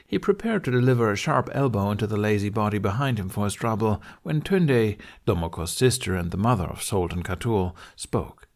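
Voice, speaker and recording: A man with a fairly low voice narrates a fantasy audiobook. He reads scripted prose as a storyteller. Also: expressive, a little fast, few pauses.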